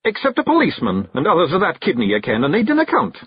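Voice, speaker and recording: A man voicing a tiny fairy clan chief with a Scottish accent, brooding, proud and gruff, in a storybook. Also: fairly high pitch, wildly swooping pitch, fast, no echo, lo-fi sound, pitched above the performer's own voice, full character voice. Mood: resigned. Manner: gruff, dramatic, stubborn.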